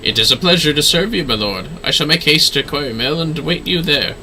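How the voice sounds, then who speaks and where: A man plays a dutiful fantasy-game manservant who carries a sword. He sounds grave, courtly and earnest, with a very bright, forceful voice. His phrasing is measured and formal. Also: on the high side, animated, slight background noise, heavily acted.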